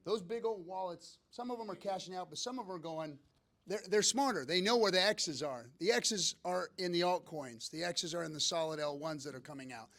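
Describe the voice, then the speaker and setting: A man's voice: high voice, brisk, fairly bright tone. A man on a seminar panel, explaining emphatically to the audience. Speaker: seminar speaker. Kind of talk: live seminar panel talk.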